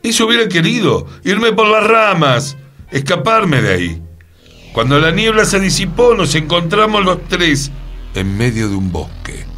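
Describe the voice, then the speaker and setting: A man's voice: sits high, big pitch swings, measured pace, bright, forceful tone, soft background music, full character voice. An Argentine man acting a rueful storyteller back from a fairy-tale forest, in radio theatre. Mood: dramatic recounting. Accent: Argentine, Rioplatense.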